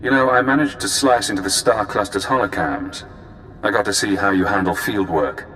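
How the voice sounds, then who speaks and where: Male actor as game spy handler, very low voice, formal, analytical, measured, echoey, faint rumble. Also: fairly bright tone, natural voice. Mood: polite, pleased. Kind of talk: video game voice acting.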